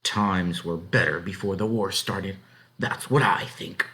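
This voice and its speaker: A man voicing an angry, worn-out farm wife in a storybook, bossy and scolding in rustic speech, shrill when upset. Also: a little slow, sharp tone. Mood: firm grumbling. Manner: scolding, rustic folksy talk.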